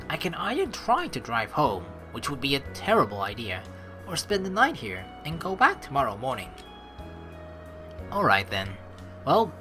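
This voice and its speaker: Animated low-voiced woman gamer. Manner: expressive, playful, chatty. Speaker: let's play host. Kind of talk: gameplay commentary, reading game text aloud.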